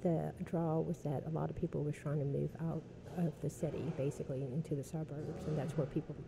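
Woman, 30s, hesitant and soft-spoken interviewee, lowish pitch, noisy recording. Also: little pitch movement, fairly quick, vocal fry. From Vietnam. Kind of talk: casual interview talk. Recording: background noise.

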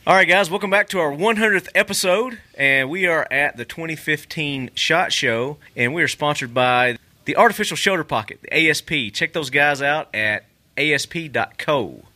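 Upbeat bright male podcast host. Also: on the high side, normal speed. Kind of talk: casual interview. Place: trade show.

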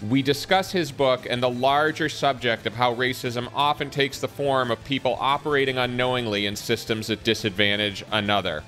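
Bright-voiced wry male podcast host. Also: medium pitch, moderate pace, hardly any pauses, faint music behind. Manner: wry, dry asides. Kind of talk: scripted podcast intro and interview.

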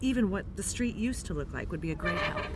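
An actress plays a courteous woman from New York, newly arrived, in an audio drama. Her voice sits on the low side and moves expressively, with gracious, slightly formal phrasing over faint background noise. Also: lively intonation, fast talker, natural voice. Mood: polite, tentative.

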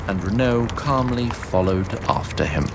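Low-voiced, animated male storyteller. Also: measured pace, gravelly, background noise. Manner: theatrical, literary, wry. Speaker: narrator. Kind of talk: scripted storytelling narration.

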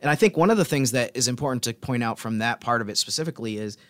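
A man's voice: mid-range voice, animated, rapid-fire, fairly bright tone, dry recording. A man co-hosting a sports podcast as stats analyst, explaining things casually and methodically.

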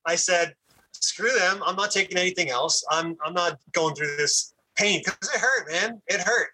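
A man with a very bright, somewhat husky voice, talking candidly in a casual podcast chat. He stops and restarts often. His pitch sits a touch high. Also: low-quality recording. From Puerto Rican family. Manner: candid, halting with frequent restarts. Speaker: podcast guest. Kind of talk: casual conversation.

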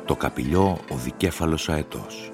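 A man narrating a literary short story for radio in a measured, deliberate manner. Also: very deep voice. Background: music.

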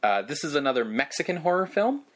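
A man's voice: on the high side, sing-song, fast. A man co-hosting a casual podcast, talking in an easygoing way with frequent hesitant fillers. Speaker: podcast co-host.

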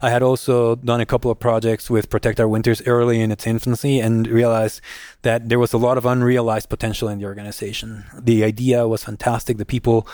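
A middle-aged man from Guatemala, a guest in an interview, telling his career story in a measured, steady way. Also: medium pitch, no echo, clean studio sound. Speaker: guest, nonprofit executive director. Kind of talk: conversational interview answer.

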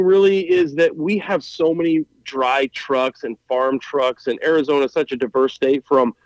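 A man talking casually and at length on a podcast, with a somewhat high voice. He rambles eagerly and stumbles over his starts, often repeating words. The recording is close and dry. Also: a little mellow, no room sound, rough recording. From Montana. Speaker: guest, firefighter and truck podcaster.

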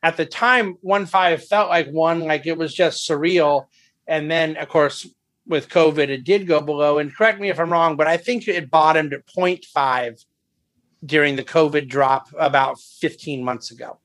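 A man's voice: a little high, normal speed, fairly bright tone. A man speaking as a market commentator on a conference call, thoughtful and hedging, often restarting his sentences. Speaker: investment firm head and market commentator. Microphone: phone or conference call line.

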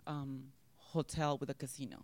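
A woman's voice: extremely low pitch, steady tone, moderate pace, a little mellow, no room sound. Blunt woman. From Mexico. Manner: candid, blunt, chatty. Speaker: podcast guest, adult performer. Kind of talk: casual interview chat. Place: hotel venue at a convention in Las Vegas.

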